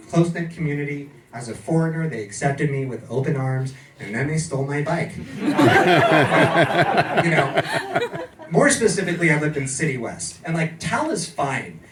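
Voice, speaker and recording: A man's voice: fairly high pitch, moderate pace. American man doing stand-up in a club. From California. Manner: deadpan, sardonic, tags lines with "okay?". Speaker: stand-up comedian. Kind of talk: stand-up comedy set. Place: comedy club in a bar, live audience. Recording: roomy distant club recording with crowd.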